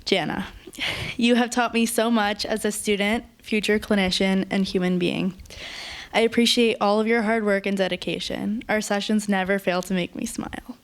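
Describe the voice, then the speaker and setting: Young woman with a smooth, clear voice. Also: medium pitch, level tone, normal speed. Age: young adult. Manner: earnest, reads prepared remarks. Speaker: student clinician presenter. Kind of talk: prepared tribute speech.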